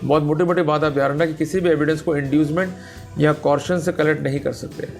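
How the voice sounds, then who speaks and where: A man's voice: on the high side, fairly even intonation, quick pace, faint music behind. An Indian man giving an explanatory law lecture, emphatic and direct, posing rhetorical questions and switching between two languages. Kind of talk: explanatory legal lecture mixing Hindi and English.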